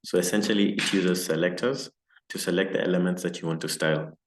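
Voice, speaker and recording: Low, flat-voiced man teaching code. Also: fast talker. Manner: relaxed and casual, many fillers. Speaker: coding instructor. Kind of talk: live online coding lesson. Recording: roomy echo, faint noise.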